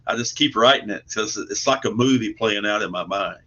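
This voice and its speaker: Guy with a lowish voice chatting in an interview, folksy n modest, muffled lo-fi sound. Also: brisk, bright, forceful tone, slightly rough. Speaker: guest, comic book artist and writer. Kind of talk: casual interview chat. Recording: dull lo-fi online call audio.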